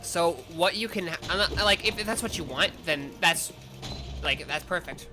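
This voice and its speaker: A man talks casually while streaming a game, wandering through half-finished thoughts and correcting himself as he goes. His voice sits a little high, and he breaks into sudden loud outbursts at the game. Game music plays clearly behind him. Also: normal speed. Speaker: vtuber streamer. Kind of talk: casual gameplay chat.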